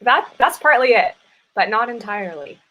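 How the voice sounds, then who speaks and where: A woman's voice: on the high side, lively intonation, normal speed, sharp tone, poor audio. Woman acting a wry, guarded, serene woman. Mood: calm, sincere. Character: guarded, serene woman meeting an old friend. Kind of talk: live play reading, naturalistic dialogue.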